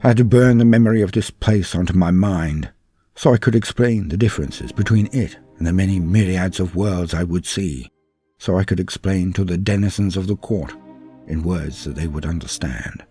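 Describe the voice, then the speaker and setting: A man narrating a story in a solemn, theatrical, dramatic style with a husky voice and drawn-out phrases. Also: low-pitched, mellow. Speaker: storyteller narrating fan fiction. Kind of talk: dramatic scripted first-person narration. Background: music.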